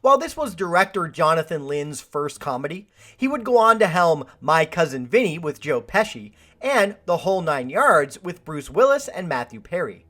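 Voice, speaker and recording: A woman's voice: lowish voice, fairly bright tone. A woman hosting a film review, reading a scripted video essay with wry, joking asides.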